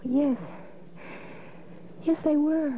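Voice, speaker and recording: Distraught young woman, pleading, lively pitch, radio drama, narrow band, faint hiss. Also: extremely slow, exaggerated voice. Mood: shocked, distraught. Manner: stunned, pleading. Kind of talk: 1960s radio acting. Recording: narrow, noisy old radio recording.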